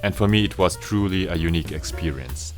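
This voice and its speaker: A man's voice: deep voice, brisk, a little mellow, faint music behind. A man from Austria, an opera director, narrating his own vlog in an earnest, appreciative way.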